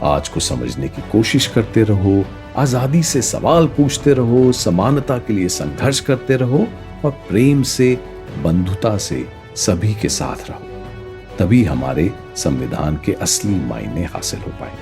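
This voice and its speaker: An actor playing a learned teacher who explains history in a didactic, rhetorical way, mixing in English words, in an educational radio play. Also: male voice, fairly low pitch, sing-song, slow pace, over music, heavily acted. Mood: rousing, earnest.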